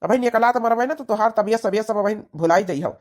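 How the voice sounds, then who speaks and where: A woman's voice: medium pitch, quick pace, darkish tone, lightly acted. A woman with an Awadhi accent voicing a bossy, dismissive young husband in a comedy cartoon, scolding and giving orders. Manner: scolding, commanding. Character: bossy, dismissive young husband who sides with his sister.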